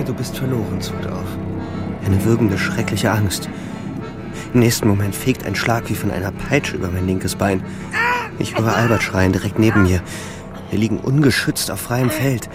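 Radio play lead: young soldier narrator played by a man, low voice, terse first-person delivery over music. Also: lightly acted. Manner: terse, present-tense storytelling. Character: young male German soldier narrating front-line ordeal.

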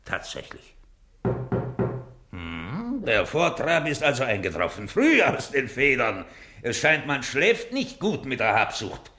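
A man's voice: medium pitch, huge pitch swings, takes it slowly, bright, forceful tone, soft background music, heavily acted. An actor playing a gloating, miserly Venetian schemer in a German radio drama, speaking theatrically and savouring his words. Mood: gleefully alert. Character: gloating, miserly rich Venetian schemer who feigns dying.

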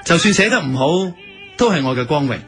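講香港粵語、彬彬有禮嘅多情綢緞店老闆，有配樂. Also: male voice, a little high, sing-song, fast talker. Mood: coy, smitten. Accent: Hong Kong Cantonese. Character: courteous, smitten silk-shop owner, eager café customer. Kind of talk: radio drama acting. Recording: music bed under the voice.

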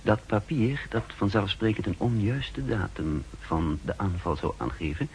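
A self-assured double agent in an old sixties radio play. He has a low voice with a brisk, secretive manner, recorded with slight noise behind it. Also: expressive, a little mellow, poor audio. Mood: focused, secretive. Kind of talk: 1960s Dutch radio drama acting, partly interior narration.

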